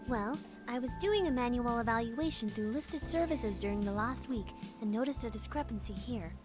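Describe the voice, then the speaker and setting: A woman's voice: a little high, animated, moderate pace, close to an everyday voice. A woman voicing a confident, teasing young female hacker in a visual novel game. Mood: intent, explaining. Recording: music playing behind the voice.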